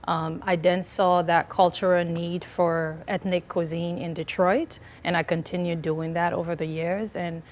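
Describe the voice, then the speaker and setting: A woman's voice: fairly low pitch, flat delivery, measured pace, hardly any pauses, slight background noise. A woman chef from Liberia, answering interview questions in an earnest, chatty way with many fillers. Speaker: chef and business owner, guest.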